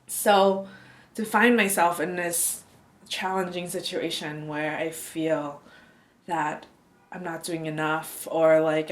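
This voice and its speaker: Young woman from taiwan, kinda low voice, talks softly and hesitant, slips into whispers. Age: young adult. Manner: soft, halting, often trailing into a whisper. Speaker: dancer interviewee. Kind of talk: reflective interview monologue.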